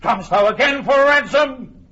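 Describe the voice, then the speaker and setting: An actor playing a stern, pious English warrior king in classical Shakespearean radio style, declaiming from hushed gravity to ringing command. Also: very high-pitched, moderate pace, heavily acted. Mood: angry, commanding. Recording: dull, noisy archival radio drama recording.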